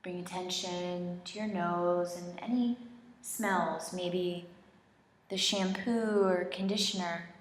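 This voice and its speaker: Female meditation instructor, very slow and gentle delivery, long pauses, echoey studio. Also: on the low side, fairly even intonation. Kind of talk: slow guided relaxation meditation, soothing instruction. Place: indoor studio, leading a group in person. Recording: roomy, echoing studio sound.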